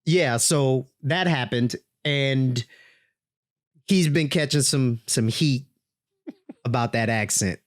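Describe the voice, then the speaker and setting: A man co-hosting a podcast in a laid-back, casual way, quick to laugh. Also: mid-range voice, dry recording. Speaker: podcast co-host.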